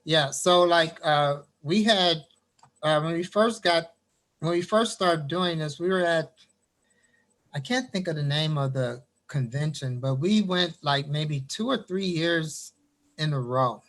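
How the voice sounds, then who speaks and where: A man from Indiana, a poet, reading his poem and then chatting about his writing over a video call. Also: on the high side. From Gary, Indiana. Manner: animated storyteller, quick bursts. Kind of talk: poetry reading, then casual chat.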